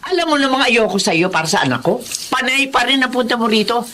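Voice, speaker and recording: A domineering Filipino mother in a radio drama. Her voice is high and sharp, full of scorn and stubborn scolding. There is faint noise behind her. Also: lively intonation, fast. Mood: scornful, angry. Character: harsh, stubborn older mother who despises her daughter's suitor.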